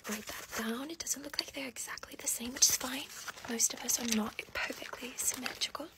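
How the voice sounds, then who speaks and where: Young woman doing asmr roleplay, all whispery, kinda high, gentle instructions. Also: fairly quick. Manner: gentle, reassuring, instructive, with repeated soft phrases. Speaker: ASMR creator in a costume fitting roleplay.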